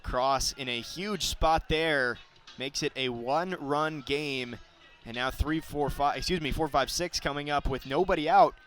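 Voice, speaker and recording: A man's voice: on the high side, a little slow, a bit bright, faint music behind. A young man calling baseball play-by-play for radio. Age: young adult. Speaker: play-by-play announcer.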